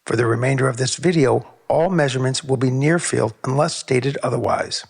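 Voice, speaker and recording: A man narrating a technical video on sound measurement in a measured, precise, explanatory way. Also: mid-range voice, gravelly, slightly rough.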